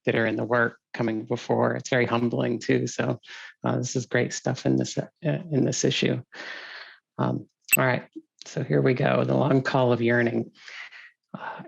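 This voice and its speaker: Dull recording, male poet, creaky voice, flat intonation, poetry reading. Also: mid-range voice. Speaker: poet reading own work. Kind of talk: poetry reading with brief thanks. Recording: dull, muffled audio.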